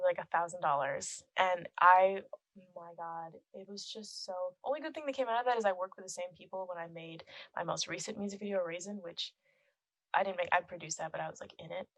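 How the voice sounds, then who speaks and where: Soft-voiced young woman, dry close mic. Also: lowish voice, dry recording, rough recording. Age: young adult. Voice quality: soft, quiet voice. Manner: chatty, laughs often, heavy use of "like". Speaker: guest, film composer and musician. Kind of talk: casual podcast chat. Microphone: close podcast microphone.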